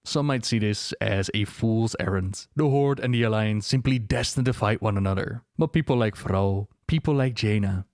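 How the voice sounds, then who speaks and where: Low-voiced man narrating, close and dry. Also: normal speed, few pauses, darkish tone, no room sound. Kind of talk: scripted story narration of game lore.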